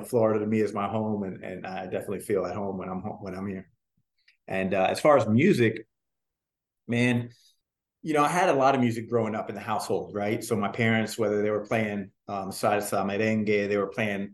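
A man from New Jersey in his forties recounts his past in a loose, chatty way. His voice sits low and the room around him rings a little. He strings thoughts together with plenty of fillers. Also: fairly quick. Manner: relaxed, rambling, many "you know" fillers. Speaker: guest, singer and dancer. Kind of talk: casual interview storytelling. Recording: roomy echo.

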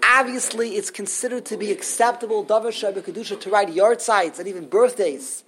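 A woman teaching a Torah class to a small group, lively and animated, switching often into Hebrew terms. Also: mid-range voice, a little fast. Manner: animated, acts out quotes, mixes in Hebrew terms.

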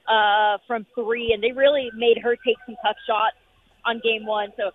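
A woman sports reporter calling in from an arena, upbeat and full of fillers, her voice going hoarse. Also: on the high side, little pitch movement. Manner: upbeat, rapid, many fillers. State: losing her voice. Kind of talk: phone-in sports radio chat. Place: noisy arena. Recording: tinny phone line.

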